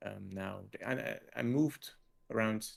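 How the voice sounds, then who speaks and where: A man's voice: fairly low pitch, creaky. A man in his forties from Switzerland, a game developer talking hesitantly in a casual interview over a video call. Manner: hesitant, halting with restarts and laughs.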